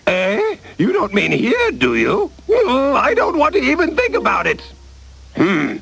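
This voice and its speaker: A blustering fairy-tale cartoon king, pompous and easily flustered. The voice is high and creaky, leaping wildly up and down over background music. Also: huge pitch swings, unhurried, fairly bright tone, no room sound, heavily acted. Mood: flustered, anxious. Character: blustering, scheming, nervous old king. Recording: music behind the voice.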